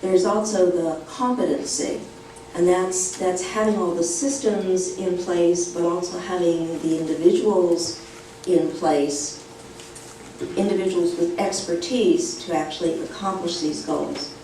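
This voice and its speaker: A woman speaking on a conference panel in a measured, deliberate way. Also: lowish voice, fairly even intonation, warm tone, slight background noise. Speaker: panelist, former official. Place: university conference room. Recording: echoey hall, distant mic.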